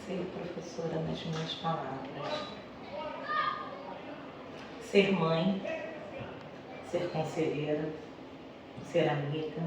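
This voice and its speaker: A middle-aged Brazilian woman, a schoolteacher speaking conversationally as an interview guest and telling personal stories. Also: deep voice. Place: echoey indoor room, far from the mic. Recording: echoey room, distant mic, noisy background.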